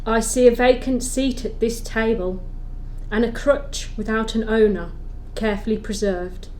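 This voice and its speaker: A woman's voice: fairly high pitch, fairly even intonation, a little slow, darkish tone, slight background noise, lightly acted, low-quality recording. A woman playing a stern, grand Christmas spirit in an audio play, speaking solemnly and with emphasis. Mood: stern reproach.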